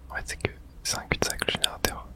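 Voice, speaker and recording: A woman whispering casual, slangy ASMR commentary as she plays a horror video game. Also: quick pace.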